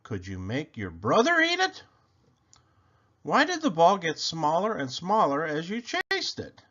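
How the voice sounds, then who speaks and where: Slow, very animated male storybook reader. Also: a little high, highs cut off. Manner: theatrical, deliberate phrasing. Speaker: picture book reader. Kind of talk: expressive children's story read-aloud.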